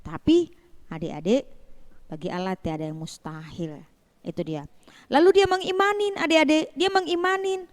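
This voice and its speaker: A woman telling a Bible story to children as a Sunday school teacher, in an animated way with rhetorical questions and repetition. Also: high-pitched, normal speed, long pauses.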